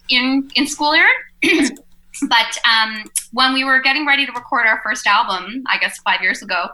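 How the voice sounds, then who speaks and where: A woman's voice: a little high, sharp tone, rough recording. A woman, a singer and teacher in a band, chatting in an interview, laughing easily and joking about herself. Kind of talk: casual interview chat.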